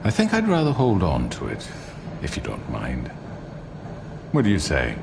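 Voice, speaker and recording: An actor playing a smug, genteel crime boss in a video game, formal, condescending and smoothly evasive. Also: male voice, low-pitched, huge pitch swings, moderate pace, big-room sound, noisy background, full character voice. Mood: smugly mocking. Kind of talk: dramatic video game acting.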